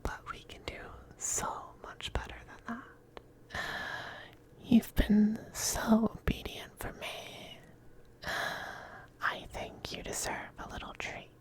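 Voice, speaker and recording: A man playing a possessive, teasing femboy vampire in an intimate ASMR roleplay, speaking softly and breathily, often in a whisper, with coaxing, condescending affection. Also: sits high, completely flat delivery, takes it slowly, long pauses. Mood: coaxing, doting. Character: possessive, teasing, doting femboy vampire master styled as mistress.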